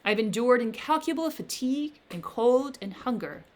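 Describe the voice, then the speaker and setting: Bitter male monster, lonely, vengeful, loud forceful declaiming, read by a woman. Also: on the high side, animated, moderate pace, voice pushed up, natural voice. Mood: accusing.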